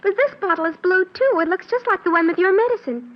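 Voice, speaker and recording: A woman's voice: extremely high pitch, quick pace. An actress playing a young girl in a 1940s radio drama, meekly polite on the surface and hateful underneath. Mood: falsely innocent. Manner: meek, innocent-sounding politeness. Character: outwardly sweet young girl, secretly hateful and scheming. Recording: muffled 1940s radio recording.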